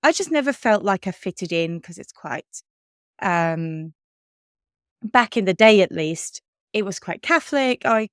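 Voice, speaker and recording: Woman, thirties, English accent, podcast co-host, candid chat, smooth voice, dry sound. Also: medium pitch, lively intonation, a little slow, no room sound. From Switzerland. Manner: chatty, candid, laughs often. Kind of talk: casual chat.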